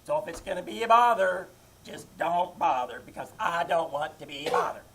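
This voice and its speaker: A woman's voice: lowish voice, lively intonation, a little fast, fairly bright tone, rough recording. A woman playing a nagging, self-pitying elderly mother who insists she is no bother, in a live old-time radio comedy. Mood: martyred. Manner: passive-aggressive, self-pitying repetition. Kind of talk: 1940s radio comedy acting. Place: live theater stage.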